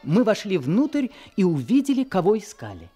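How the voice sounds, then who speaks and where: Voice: mid-range voice, huge pitch swings, moderate pace, mellow, breathy edge, soft background music. A hopeful teenage boy tells his own story, his voice rising and falling a great deal, as an actor plays him. He speaks in a wistful, storytelling way in an old radio play.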